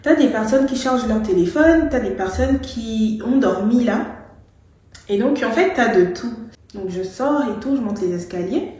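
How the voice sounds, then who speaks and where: A woman's voice: on the high side, darkish tone. Young woman from Gabon telling a storytime, chatty and full of fillers, in a very echoey room. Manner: chatty, with fillers and some English words. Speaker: vlogger. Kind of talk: casual storytime.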